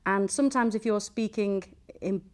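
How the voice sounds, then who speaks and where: A woman from Manchester hosting a podcast, chatty and enthusiastic, asking questions and reacting quickly. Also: medium pitch. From Manchester, England. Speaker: podcast host. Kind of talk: casual interview chat.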